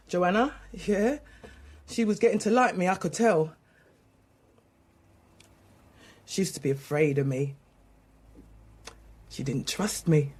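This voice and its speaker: A woman's voice: fairly low pitch, darkish tone, slightly rough. A defiant, grieving mother. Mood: subdued, grieving. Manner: defiant, colloquial, ranting. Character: angry, grieving mother under police questioning, ex-prisoner. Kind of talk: naturalistic radio drama acting.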